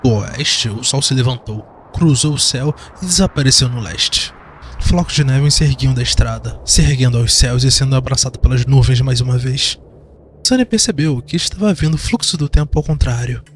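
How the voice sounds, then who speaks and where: A man's voice: mid-range voice, a little fast, a little hiss or hum. A man with a Brazilian accent giving dramatic scripted narration of a fantasy audio drama. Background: background music.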